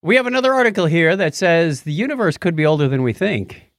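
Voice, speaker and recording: A man's voice: fairly high pitch, animated, fast, no echo. A man hosting a podcast, chatting casually and jokily about tech news with theatrical, self-deprecating announcing. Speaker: podcast host.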